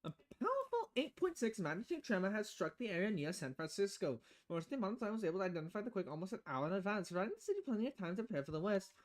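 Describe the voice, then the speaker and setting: A woman's voice: medium pitch, sing-song, racing, few pauses. A woman streaming a visual novel, reading the text aloud expressively and often stumbling and correcting herself. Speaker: video game streamer.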